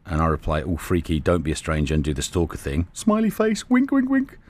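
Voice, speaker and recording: A man's voice: low voice, huge pitch swings, fairly quick, a little mellow. A man hosting a morning radio show, joking around, often laughing, and reading messages aloud in a radio studio. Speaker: radio host. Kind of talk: casual morning radio banter.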